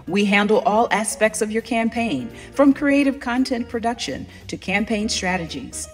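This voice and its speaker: A woman reading a scripted advertisement for a media company in a bright, emphatic sales voice. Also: medium pitch, expressive, a little slow, soft background music. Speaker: advertisement voice-over. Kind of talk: scripted ad read.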